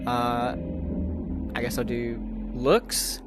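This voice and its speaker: Male gaming host, mid-pitched, slow and hesitant, off-the-cuff review delivery with music underneath. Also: level tone. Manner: hesitant, rambling. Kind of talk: casual unscripted game review.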